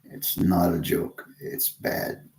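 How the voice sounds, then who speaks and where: A man from Texas talking casually to camera in a halting way, with many restarts and pauses. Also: low voice, completely flat delivery, vocal fry, a little mellow. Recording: roomy echo.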